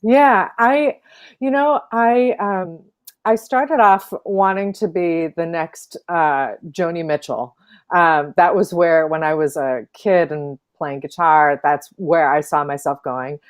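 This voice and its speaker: Woman from illinois, talks real slow and low, lots of ums, video call. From Illinois, USA. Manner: unhurried, thoughtful, frequent fillers and soft laughs. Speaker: guest, opera singer. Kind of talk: casual interview chat.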